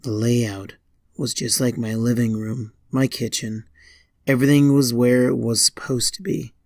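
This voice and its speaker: A man reading a scripted first-person horror story in a deliberate way, pausing between short phrases. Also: mid-range voice, vocal fry, darkish tone, no room sound, clean studio sound. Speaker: fan fiction narrator.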